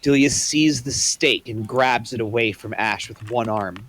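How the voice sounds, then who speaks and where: A man's voice: mid-range voice, measured pace, fairly bright tone, a little hiss or hum. A man reading the stage directions aloud in an online table read.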